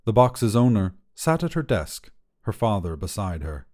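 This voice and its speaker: A man reading a short story as its narrator, with a measured, literary storytelling delivery. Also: on the low side, sing-song, a little mellow. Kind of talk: scripted fiction narration, storytelling.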